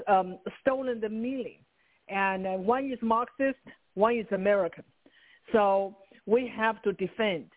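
A woman from China, a guest author talking with urgent insistence and some grammar slips. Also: mid-range voice. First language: Chinese. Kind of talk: interview over the phone. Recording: tinny phone line.

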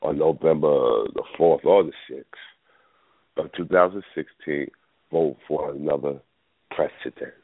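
Deep-voiced male talk radio host, loose and rambling, a bit under the weather, heard over a phone line. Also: fairly even intonation, unhurried, no room sound. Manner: rambling, thinks aloud with many fillers. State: battling a cold. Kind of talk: casual call-in radio talk. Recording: tinny phone line, dry.

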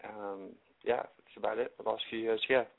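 A man's voice: fairly low pitch, steady tone, normal speed, creaky. A man in his late twenties with a South African accent, answering casually as an interview guest. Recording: thin phone-line sound.

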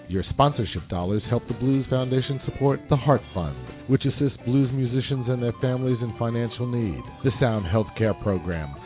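A man reading a scripted promotional announcement in an earnest, appeal-making manner. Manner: earnest pitch. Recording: tinny lo-fi sound with music. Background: music.

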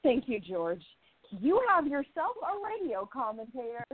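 A woman's voice: on the high side, very animated, measured pace, slightly rough, heavily acted. A woman playing an independent young newspaperwoman of the 1920s in a radio play, with an earnest, emphatic delivery. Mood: businesslike. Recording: tinny phone-like line, roomy echo.

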